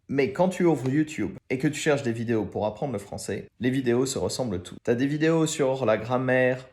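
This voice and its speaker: A man's voice: mid-range voice, darkish tone. A man from France in his thirties, a French teacher talking frankly and informally to his channel's viewers, thinking aloud as he goes. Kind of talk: casual talk to camera.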